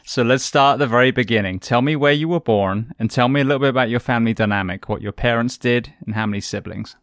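A man's voice: medium pitch, fast, fairly bright tone, no echo, clean studio sound. A man hosting a podcast, asking his guest questions in a courteous way. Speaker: podcast host. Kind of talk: interview questions.

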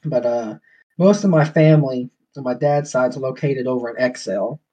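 A man's voice: medium pitch, moderate pace, dark tone. A man from Georgia with a Southern US accent, chatting and telling stories casually as a podcast guest. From Georgia, USA. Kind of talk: casual storytelling chat. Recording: dull lo-fi call audio.

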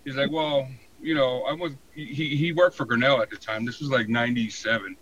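An American man in his forties, telling his story casually in an interview with a halting, colloquial delivery full of "you know". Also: medium pitch, steady tone, a bit bright, muffled recording, a little hiss or hum. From San Diego, born in Hawaii. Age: 40s. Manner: halting, colloquial, frequent 'you know'. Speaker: podcast guest, fire protection inspector.

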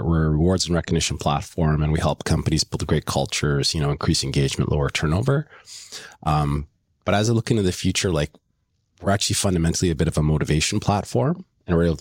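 Very deep, husky-voiced man, animated. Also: no room sound, clean studio sound. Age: middle-aged. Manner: animated storyteller, enthusiastic pitch. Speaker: company co-founder. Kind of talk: casual podcast chat.

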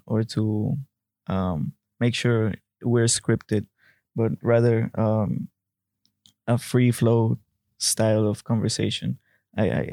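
A young man speaks slowly and deliberately on a podcast, pausing as he thinks. His voice is low, mellow and quite even in pitch. The recording is dry and close. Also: creaky, dry recording. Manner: measured, with pauses and "um". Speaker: podcast co-host. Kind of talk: casual chat.

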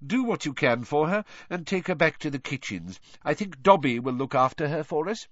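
An elderly wizard headmaster acted by a male audiobook reader speaks with grave, courteous authority. His measured, formal delivery rises and falls with lively intonation. The recording is dry and slightly dull. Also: fairly high pitch, no echo, pitched above the performer's own voice. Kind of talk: audiobook character voice.

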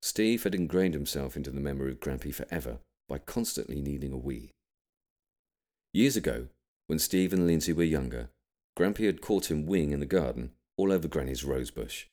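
A British man reading an audiobook, delivering scripted comic narration in an expressive storytelling manner. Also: rumbling low, moderate pace. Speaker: audiobook reader.

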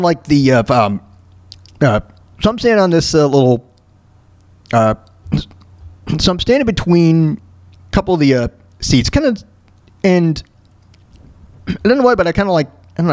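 A man in his thirties hosting a talk show alone, telling stories and ranting casually in a halting, repetitive way with frequent throat clearing. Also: on the high side, big pitch swings, darkish tone, no echo. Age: 30s. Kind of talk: casual monologue, ranting and personal storytelling.

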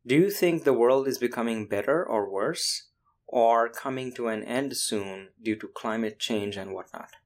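A man whose first language is Bangla, hosting and narrating with a measured, explanatory delivery. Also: medium pitch. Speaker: podcast host and narrator. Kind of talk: scripted narration and casual interview.

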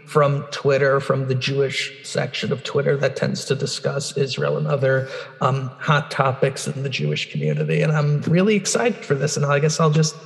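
Twenties Brooklyn man, mid-pitched, relaxed podcast chat, some room reverb. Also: moderate pace, vocal fry. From Brooklyn, New York. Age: 20s. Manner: relaxed, loose with fillers. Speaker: podcast co-host.

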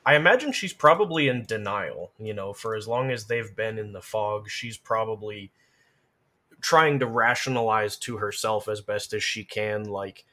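Lowish-voiced man in casual discussion. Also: moderate pace, bright, forceful tone. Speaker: podcast co-discussant on game lore. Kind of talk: casual chat.

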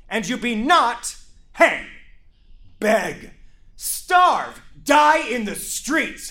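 Guy doing a ranting bossy dad lord, bright sharp voice, takes his time. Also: sits high, heavily acted. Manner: blustering, commanding, rises to shouting rants. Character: furious domineering noble father, middle-aged. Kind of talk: Shakespeare stage reading.

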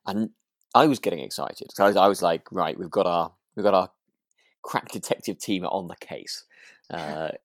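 A man with an English accent, from near Birmingham, co-hosting a relaxed podcast chat and often rephrasing mid-sentence. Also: deep voice, moderate pace, dry recording, studio quality. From near Birmingham, England. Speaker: podcast co-host.

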